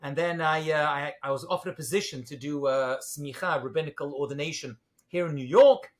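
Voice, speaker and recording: Man, London accent, middle-aged, higher-pitched, chatty with fillers. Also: fairly quick. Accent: British English, London. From England. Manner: self-deprecating, jokey, with fillers. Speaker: rabbi, guest. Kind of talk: casual interview talk.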